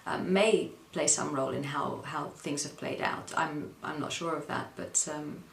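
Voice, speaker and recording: A woman from the Netherlands tells her story in an interview, with a very low voice and plenty of gravelly fry. She speaks hesitantly, with many ums and pauses. The room is echoey, with faint noise underneath. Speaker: interview guest. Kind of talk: personal account in an interview.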